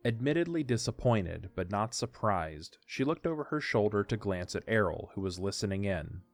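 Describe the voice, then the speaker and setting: A man's voice: fairly low pitch, very animated, normal speed, soft background music. A man narrating an audiodrama as scripted story narration.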